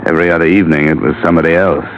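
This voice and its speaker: An actor playing a wry, guarded insurance investigator in a vintage radio drama, terse and sardonic, on an old muffled recording. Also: male voice, very deep voice, fairly even intonation, rapid-fire, a little hiss or hum. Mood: bitter, sardonic. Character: hard-boiled male freelance insurance investigator, wry and guarded. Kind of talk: 1950s radio drama acting with first-person case narration.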